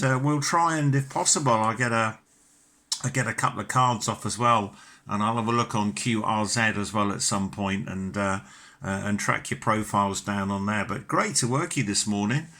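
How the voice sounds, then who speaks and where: British man, middle-aged, bright crisp-edged tone, mid pitch, courteous, chatty, casual amateur radio talk. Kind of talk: casual ham radio chat.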